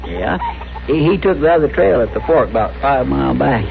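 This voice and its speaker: An actor playing a good-natured cowboy deputy sidekick in a radio Western, with a rural Western American drawl and a folksy, rambling, deferential way of talking. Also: male voice, mid-range voice, animated, brisk, creaky. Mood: polite, chatty. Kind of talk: 1960s radio Western acting. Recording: dull, very noisy vintage radio recording.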